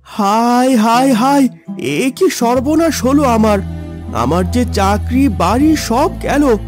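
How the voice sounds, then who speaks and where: A man's voice: very high-pitched, animated, slow pace, faint music behind, full character voice. A man playing a proud, self-centred city son in a melodramatic cartoon. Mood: despairing.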